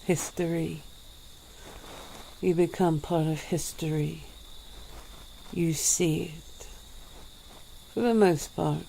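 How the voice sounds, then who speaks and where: A woman voicing an ancient, weary female vampire in a soft-spoken ASMR roleplay, with low chuckles. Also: gravelly, a little hiss or hum, heavily acted, rough recording.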